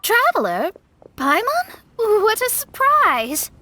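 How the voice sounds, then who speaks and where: A woman's voice: extremely high pitch, huge pitch swings, slow, heavy background noise, full character voice. A woman voicing a grandiose, theatrical young woman in a video game, speaking formally. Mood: grandly pleased. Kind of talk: video game character dialogue.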